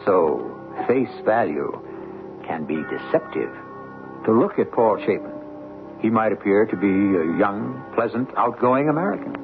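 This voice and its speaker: A man narrates an old radio mystery as its host. His voice is low and moves dramatically in pitch, with ironic, measured phrasing. Music plays clearly behind him on a tinny recording. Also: expressive.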